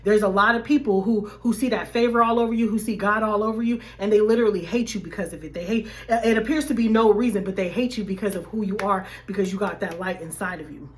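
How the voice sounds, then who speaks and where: A woman life coach, slightly hoarse, talking animatedly to her viewers in a casual faith-based vlog. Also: medium pitch, fast, few pauses. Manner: animated, addresses viewers as y'all.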